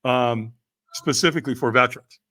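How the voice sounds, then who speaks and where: Older male podcast guest. Energetic, emphatic raconteur with casual slang, voices both sides of remembered exchanges. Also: medium pitch, a little fast. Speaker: podcast guest, firewalking event leader. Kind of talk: energetic storytelling in casual interview.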